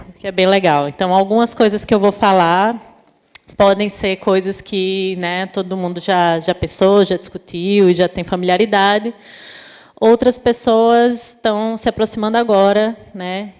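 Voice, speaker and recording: A woman from Natal with a northeastern Brazilian accent, a psychologist giving an informal talk at a university event, pausing often with fillers. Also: mid-range voice, a little mellow, clear, resonant tone. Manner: many fillers, thoughtful and hesitant. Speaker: invited speaker, psychologist. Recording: long hall reverb.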